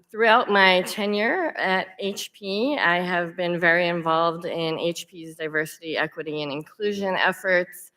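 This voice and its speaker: A woman on a conference panel introduces herself with a slightly low voice. She talks in a friendly, conversational way with some fillers. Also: fairly bright tone, clear, resonant tone. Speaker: panelist, sales account executive. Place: conference hall.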